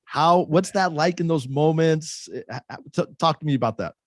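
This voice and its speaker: A man's voice: a little high, moderate pace. A man hosting a podcast interview, friendly and often stumbling over his words. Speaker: podcast host. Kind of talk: casual interview.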